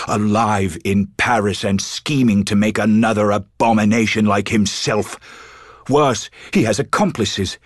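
An actor playing a grave, haunted English sea captain in a board game, reading a letter with formal, theatrical solemnity. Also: male voice, on the low side, no room sound, clean studio sound. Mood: urgent, alarmed. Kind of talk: dramatic letter narration.

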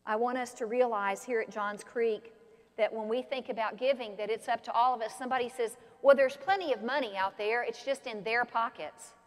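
An older woman pastor preaching an animated sermon from a pulpit in a large church sanctuary. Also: on the high side, brisk. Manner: animated, expressive preaching with gestures. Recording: long church reverb.

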